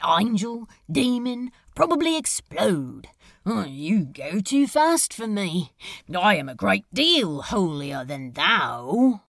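A woman playing a male demon in a fan audio drama, sardonic, exasperated and insecure, speaking in halting, drawn-out phrases. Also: medium pitch, big pitch swings, vocal fry, a bit bright, dry recording, clean studio sound, exaggerated voice. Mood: bitter, exasperated.

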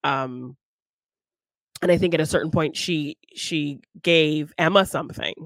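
A woman's voice: sits low, unhurried, no echo. A woman co-hosting a podcast, casually talking through a film and acting out bits of dialogue as she retells it. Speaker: podcast co-host.

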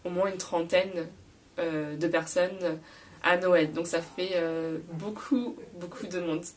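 Young woman, nasal and congested, a bit hoarse, lowish voice, a little off-mic, casual lesson. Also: steady tone, recorded from a distance, slight background noise. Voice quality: slightly hoarse. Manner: frequent fillers, repeats key words slowly. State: nasal, stuffy voice from a cold. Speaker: podcast host teaching French.

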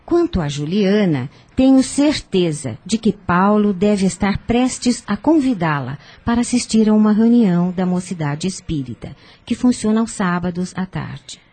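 A woman's voice: mid-range voice, animated, normal speed, hardly any pauses, dark tone, breathy edge. An actress with a Brazilian accent playing a kindly, devout older woman in a radio soap, earnest and instructive. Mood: helpful, explaining. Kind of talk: radio soap opera acting.

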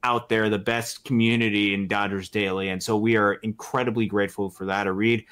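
A man co-hosting a sports podcast, explaining things in a measured, earnest way in casual commentary. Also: on the low side, level tone, a bit bright, clear, resonant tone.

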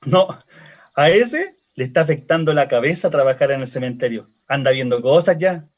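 A chatty, higher-voiced Chilean gravedigger. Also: male voice, animated, normal speed, dull audio. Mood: teasing. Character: chatty, teasing male cemetery worker. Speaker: actor. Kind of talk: radio drama acting, colloquial dialogue.

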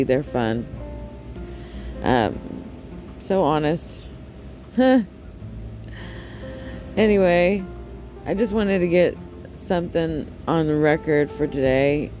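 A man with a deep, raspy voice, chatting casually and candidly on his solo podcast, with frequent ums and self-corrections. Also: darkish tone. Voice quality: deep and raspy, especially in the morning. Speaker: podcast host.

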